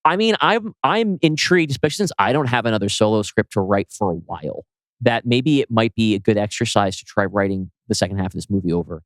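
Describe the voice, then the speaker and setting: A man's voice: on the low side, expressive, quick pace, no echo. A man co-hosting a podcast, explaining his ideas tentatively in a casual workshop discussion. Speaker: podcast co-host and scriptwriter.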